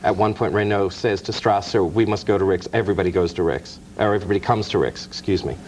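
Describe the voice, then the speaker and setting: A man's voice: fairly low pitch, fast, darkish tone, a little hiss or hum. A man, a guest author, explaining film history in detail in an interview, with small restarts. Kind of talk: interview talk. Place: TV studio.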